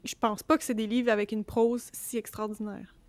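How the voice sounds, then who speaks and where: Higher-voiced Quebec French woman. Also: moderate pace, no echo. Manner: relaxed, frank, laughs lightly. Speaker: podcast co-host. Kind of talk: casual chat about books.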